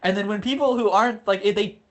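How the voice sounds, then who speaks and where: A man's voice: high voice, brisk, muffled recording. A young adult man talking as a guest in a casual conversation, with a loose manner full of "like" fillers. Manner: casual, filler-heavy with frequent "like". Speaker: guest, social media creator.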